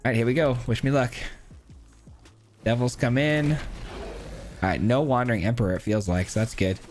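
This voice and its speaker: A man with a hoarse, cracking voice gives casual commentary on his own card game, counting aloud and thinking through his plays. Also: medium pitch, moderate pace, faint music behind. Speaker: gameplay streamer. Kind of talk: casual live gameplay commentary.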